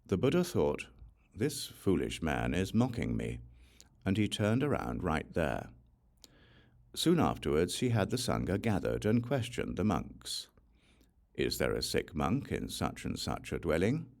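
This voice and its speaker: A man reading a religious text as scripted audiobook narration in a measured, deliberate way. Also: low voice, wildly swooping pitch, creaky. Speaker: audiobook reader.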